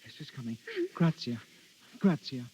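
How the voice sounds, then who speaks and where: A man's voice: medium pitch, lively intonation, moderate pace, warm tone, slight background noise, close to an everyday voice. An actor playing an earnest, lovelorn young nobleman in a vintage radio drama. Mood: worried. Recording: muffled old radio recording.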